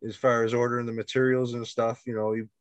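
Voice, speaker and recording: A man's voice: medium pitch, little pitch movement, quick pace, dry recording, lo-fi sound. A man hosting a casual interview, friendly and encouraging. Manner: friendly, encouraging, asks questions. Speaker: interview host.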